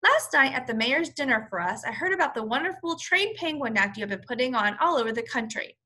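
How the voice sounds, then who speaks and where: A woman's voice: high voice, expressive, a little fast, bright, forceful tone, exaggerated voice. A woman voicing a hearty, kindly bearded admiral in a storybook, with emphatic, stately delivery. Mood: genial, earnest.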